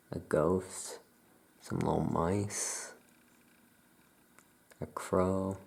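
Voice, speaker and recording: A soft-spoken ASMR host in a calm, unhurried shopkeeper roleplay, leaving long pauses between phrases. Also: low-pitched, gravelly. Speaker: ASMR host playing a Salem witch shopkeeper.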